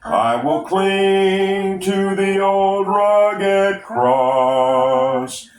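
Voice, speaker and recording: Slow, echoey a cappella hymn singing. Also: mid-range voice, expressive, soft background music. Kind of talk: a cappella hymn singing with brief casual chat. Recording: distant, echoey room recording, amateur.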